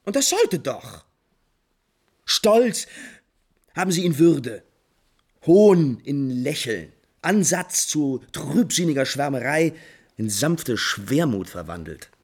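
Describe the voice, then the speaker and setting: A man playing an impulsive, refined, lovesick prince in 1950s German radio drama, theatrically shifting between musing and brisk command. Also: fairly high pitch, very animated, darkish tone, breathy edge, heavily acted. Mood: animated, critical. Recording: 1950s radio recording with music behind.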